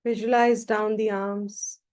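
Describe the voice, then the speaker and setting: A woman teaches meditation at a slow, unhurried pace in a mid-range voice. She gives brief, gentle instructions, calm and measured, and leaves long pauses between phrases. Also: mid-range voice.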